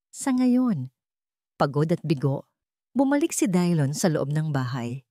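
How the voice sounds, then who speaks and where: A woman with a slightly low voice narrates a dramatic love story from a script. The recording is dry, with very little room sound around her. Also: dark tone, no room sound.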